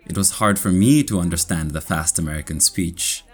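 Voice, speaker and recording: Young Kenyan man, very low voice. Measured, clear scripted storytelling. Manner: slow, clear, measured reading. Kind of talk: scripted personal story for learners.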